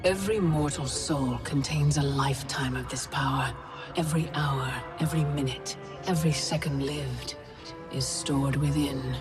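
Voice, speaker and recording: A woman playing an imperious, scheming goddess in a video game, measured, commanding and grandiose. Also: extremely low pitch. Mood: cold, calculating. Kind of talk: video game villain acting. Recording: loud music underneath.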